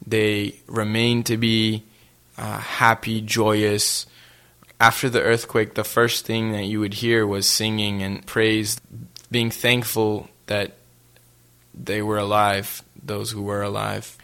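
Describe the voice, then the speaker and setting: A young man from Haiti, answering interview questions in a soft-spoken, casual way, often restarting his phrases. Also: lowish voice, monotone, takes it slowly, clean tone. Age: young adult. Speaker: interview guest.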